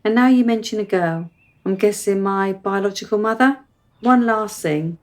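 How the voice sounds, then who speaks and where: A woman's voice: medium pitch, normal speed, soft background music, natural voice. Woman playing a precocious, forthright young girl. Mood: probing. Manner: articulate, formal wording, insistent. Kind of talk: radio play acting.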